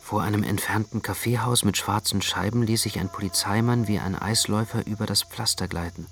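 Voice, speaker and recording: A man's voice: lowish voice, steady tone, a little fast, lightly acted. A man narrating a radio play in the first person as an anxious, brooding literary storyteller.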